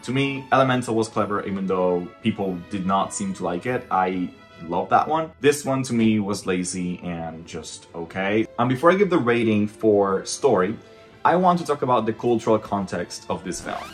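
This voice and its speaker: Low-voiced Dominican man reviewing films, music behind. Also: animated, normal speed. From Dominican Republic. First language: Spanish. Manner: emphatic, conversational. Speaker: animator and film reviewer. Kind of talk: casual opinionated film review vlog.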